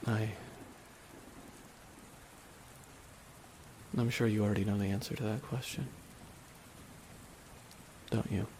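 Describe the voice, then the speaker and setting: A man playing a brooding, remorseless villain in a dramatic ASMR roleplay, speaking in a hushed, deliberate way with long pauses. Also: on the low side, lightly acted. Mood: pensive, probing. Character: brooding, remorseless male villain at his dying nemesis's side. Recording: steady rain noise behind the voice. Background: rain falling on a surface.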